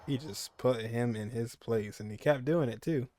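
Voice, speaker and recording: A man's voice: medium pitch, moderate pace, darkish tone, slight background noise. A man giving casual reaction commentary as he streams a video game. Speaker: gaming streamer.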